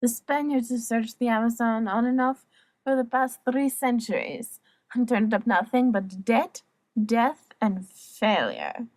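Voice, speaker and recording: A woman playing an alluring, worldly courtesan who tells tales in a soft, measured, theatrical way for an audiobook drama. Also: on the high side, dry recording, natural voice. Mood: dismissive. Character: alluring young female courtesan telling legends, worldly and teasing.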